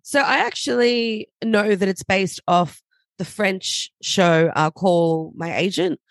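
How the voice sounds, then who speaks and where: Slightly raspy woman podcasting. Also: medium pitch, dry recording. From Australia. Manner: chatty, quick to laugh. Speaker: podcast co-host. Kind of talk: casual chat.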